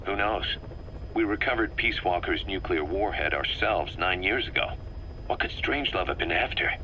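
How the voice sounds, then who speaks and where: A man's voice: low voice, animated, moderate pace, sharp tone, heavily acted. A man playing a bitter, driven middle-aged military officer in a video game, briefing in a clipped, emphatic way. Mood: puzzled, briefing. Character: bitter, driven military second-in-command, vengeful and intense. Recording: faintly noisy cassette tape recording.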